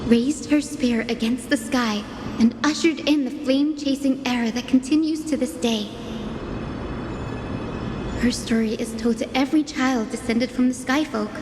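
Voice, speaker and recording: High-voiced earnest young female student, played by an actress. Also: normal speed, background noise, close to an everyday voice. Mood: earnest, reflective. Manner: earnest, reflective storytelling. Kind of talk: video game voice acting. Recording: reverberant hall, music behind.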